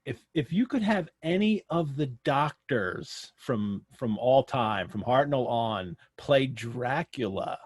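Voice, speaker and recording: A man's voice: mid-range voice, lively intonation, takes it slowly, hardly any pauses, slightly rough, no room sound. Male Q&A host, dry sound. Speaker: interviewer, host. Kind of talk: casual live Q&A hosting. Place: remote video call. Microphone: video call.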